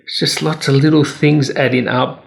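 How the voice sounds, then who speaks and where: Gravelly man giving a casual phone review. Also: mid-range voice, fairly even intonation, normal speed, slight background noise. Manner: opinionated, candid. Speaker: tech reviewer.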